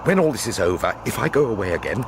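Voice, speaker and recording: An actor playing an earnest, flustered middle-aged British amateur sleuth in a radio drama, impulsive and given to stammering. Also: male voice, on the low side, very animated, fast talker, very noisy. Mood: hesitant, awkward.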